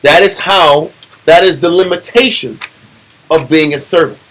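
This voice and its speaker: A middle-aged man leading a Bible study, teaching in an animated, preachy way and often repeating himself. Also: fairly high pitch, a little slow.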